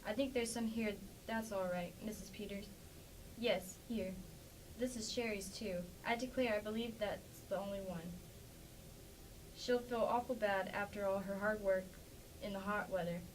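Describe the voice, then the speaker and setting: A woman's voice: mid-range voice, fairly even intonation, moderate pace, natural voice. Woman reading as a plainspoken farm wife, slightly distant. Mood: wistful, sympathetic. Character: plainspoken, defensive Midwestern farmer's wife and neighbor. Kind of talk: amateur staged play reading. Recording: distant mic with faint background noise.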